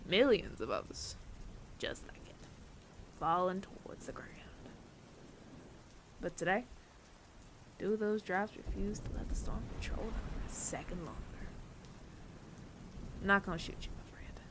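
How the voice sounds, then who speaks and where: A man playing a weary, remorseful bounty hunter in an audio roleplay, speaking in hushed, breathy, deliberate phrases with heavy pauses. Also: high-pitched, lively intonation, exaggerated voice. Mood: tender, melancholy. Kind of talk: dramatic ASMR audio roleplay monologue. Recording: clear rain noise behind the voice. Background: rain.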